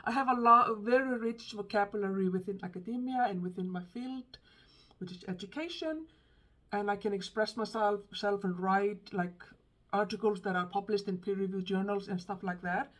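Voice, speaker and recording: A woman's voice: medium pitch, normal speed, a bit bright. Woman with an Icelandic accent, hesitant. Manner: thoughtful, hesitant, many fillers and restarts. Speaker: book vlogger. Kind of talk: casual chat to camera.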